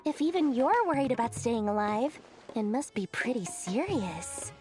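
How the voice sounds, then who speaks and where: High, theatrical greedy merchant girl. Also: sing-song, moderate pace, dark tone, soft background music. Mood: intrigued, shrewd. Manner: pompous salesman patter, dramatic swings. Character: greedy, theatrical, self-important female traveling merchant. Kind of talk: animated video game cutscene voice acting.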